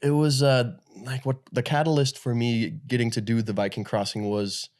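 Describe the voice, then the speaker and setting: A young man from Denmark, a guest in an interview, telling his story in a relaxed, loose way with lots of fillers. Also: mid-range voice, normal speed. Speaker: guest, pro paddle surfer. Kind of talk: casual interview talk.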